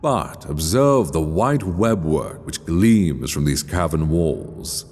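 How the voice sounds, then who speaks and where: A man voicing a scheming aristocrat in an audiobook, courteous and measured, with smoothly feigned concern. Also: very deep voice, lively intonation, a little mellow, exaggerated voice. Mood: calm. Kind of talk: audiobook character dialogue. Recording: long cavernous reverb.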